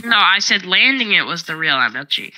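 A woman's voice: fairly low pitch, expressive, fairly quick, sharp tone, poor audio. A woman playing a block-building game with a friend, answering in short, stubborn, dry replies. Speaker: gamer. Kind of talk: casual let's play chat.

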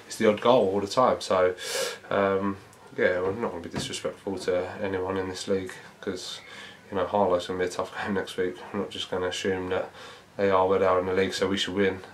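A man, a football manager giving a measured post-match interview, thinking aloud with many fillers. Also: deep voice, little pitch movement, slight background noise. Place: small indoor press room before a sponsor board.

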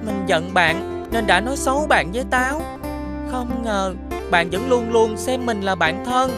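A woman voicing a stubborn young schoolchild who likes to tattle, in an expressive children's cartoon style. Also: fairly high pitch, unhurried. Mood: surprised, contrite. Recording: music behind the voice.

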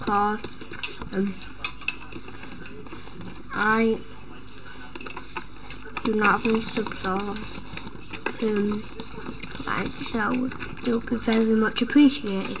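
A woman's voice: medium pitch, fairly even intonation, extremely slow, long pauses, a crowd in the background, rough recording. A woman hosting her own gaming videos and losing her voice, rambling through casual gameplay commentary with sudden startled exclamations. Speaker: gaming channel host.

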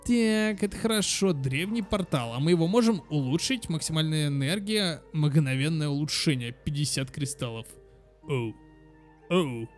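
A man's voice: a little high, normal speed. A young man giving casual let's play commentary for children, chatty, thinking aloud and repeating words. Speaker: gaming channel host. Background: game music.

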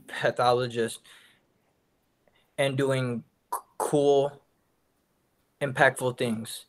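A man's voice: mid-range voice, a bit bright. A young man with a stutter, giving a college class presentation over a video call. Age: young adult. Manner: stutters with sound repetitions and blocks. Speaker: student presenter.

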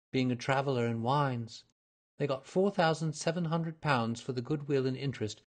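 남자가 연기하는 허둥대며 수다스럽게 말하는 젊은 여성, 높게 올린 목소리, 약간 허스키함. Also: male voice, medium pitch, voice pushed up, natural voice. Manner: eager, rambling, breathless pleading. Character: young woman client, flustered and chatty. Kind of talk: audiobook character reading.

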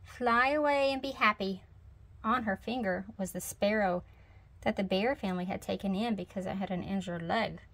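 A woman's voice: medium pitch, a bit bright. A woman reading a picture book aloud in children's storytime narration. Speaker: storybook reader.

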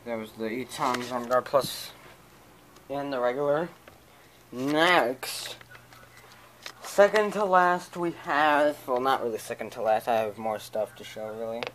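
A casual amateur host with a mid-pitched voice talks through items in a home show-and-tell. The voice is caught on a handheld camera in a small room, with clear noise around it. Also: unhurried, long pauses, fairly bright tone, low-quality recording. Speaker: amateur video host showing a radio collection. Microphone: handheld camera close to the speaker.

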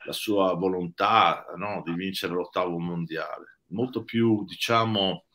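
A man's voice: sits low, steady tone, measured pace, bright, forceful tone. An older man speaking as a technical expert guest on a video call, thoughtful and hesitant, with many fillers and restarts. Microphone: video call webcam.

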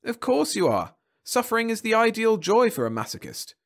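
Man putting on a smug, high-pitched government official. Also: very animated, moderate pace. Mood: smug delight. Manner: snappish, smugly bureaucratic. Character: smug, officious male government marriage counsellor, coolly gloating.

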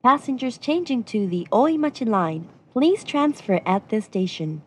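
A woman's voice: fairly high pitch, big pitch swings, slow pace, a little mellow, breathy edge. A woman delivering scripted train announcements with formal, courteous phrasing.

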